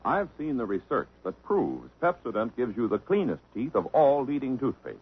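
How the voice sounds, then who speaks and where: A man speaks as an earnest, assured spokesman in a 1950s radio commercial. His husky voice moves lively, and the old broadcast sounds muffled. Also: mid-range voice, moderate pace.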